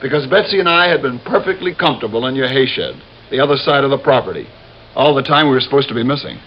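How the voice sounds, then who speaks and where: Scornful old radio villain with a gun, bossy and sneering, muffled hissy recording. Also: male voice, mid-range voice, very animated, fast talker. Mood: smug, mocking. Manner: sneering, mocking, commanding. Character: smug, menacing gunman villain, scornful schemer. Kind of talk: vintage radio drama acting.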